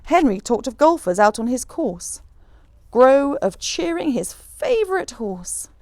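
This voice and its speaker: A woman reading a rhyming children's picture book aloud in an expressive, rhythmic storyteller's manner. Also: high-pitched, unhurried, no echo. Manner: animated, rhythmic rhyming delivery.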